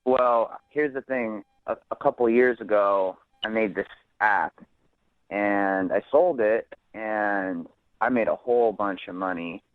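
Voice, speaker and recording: A man speaking casually as a phone guest on a radio show, in a halting, earnest way. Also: lowish voice, clear, resonant tone, no echo. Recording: thin band-limited phone line.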